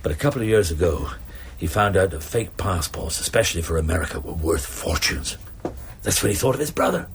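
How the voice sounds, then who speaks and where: An actor playing a shrewd, drily courteous police chief inspector in a naturalistic radio drama, with measured, understated delivery. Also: male voice, low-pitched, animated, creaky, slight background noise. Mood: measured explaining. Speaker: actor in a detective radio play.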